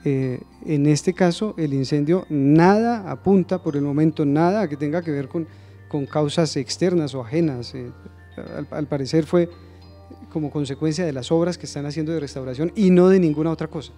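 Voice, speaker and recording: A man with a Colombian accent hosting a live news interview, deliberate and often searching for his words. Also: on the high side, warm tone, soft background music.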